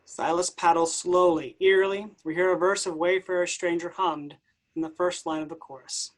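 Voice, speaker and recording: A woman's voice: lowish voice, steady tone, moderate pace, slight background noise, low-quality recording. A woman reads stage directions at a staged play reading. She sets out scenes and actions as a narrator, separate from the acted parts.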